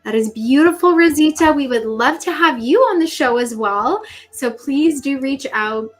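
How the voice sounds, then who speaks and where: Host: woman, highish voice, effusive and gushing, reads comments from the chat. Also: expressive, moderate pace, soft background music. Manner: reads viewer comments aloud. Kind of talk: livestream talk show chat.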